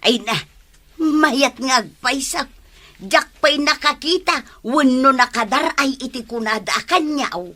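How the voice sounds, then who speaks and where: A woman's voice: sits high, measured pace, bright, forceful tone, exaggerated voice. A woman with an Ilocano accent playing a pushy, practical village wife in a radio comedy drama. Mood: chatty. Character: pushy, practical village wife urging her husband along.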